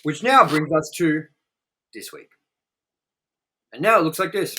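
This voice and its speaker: A man's voice: a little high, lively intonation, unhurried, pushed and bright, slight background noise. A man co-hosting a casual, opinionated film review chat, speaking in a blunt, sweary, exaggerating way. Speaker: co-host of a movie review show.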